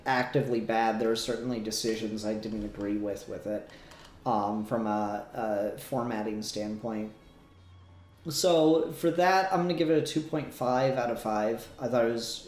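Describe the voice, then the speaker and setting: A man co-hosting a podcast, chatting casually about a review in a halting, hedging way. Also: medium pitch, a little mellow. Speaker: podcast co-host. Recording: roomy echo, noticeable background noise.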